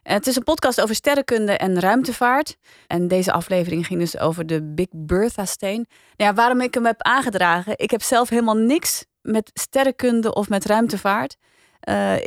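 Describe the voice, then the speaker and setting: A female podcast host with a Netherlandic Dutch accent leads a casual panel discussion. Her voice sits at a medium pitch, and the recording is close and dry, with no audible room. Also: no room sound.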